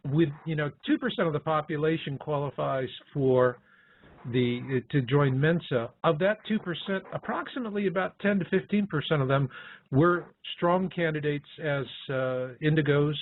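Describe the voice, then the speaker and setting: A man's voice: on the high side, moderate pace. An older man in a conversational interview, explaining his ideas and asking questions as a co-host. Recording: thin phone-like line.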